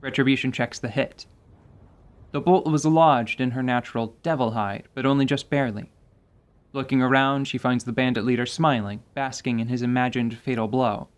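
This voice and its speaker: A man's voice: medium pitch, steady tone, normal speed, clean tone. A man giving dramatic, scripted narration for a fantasy radio play. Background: music.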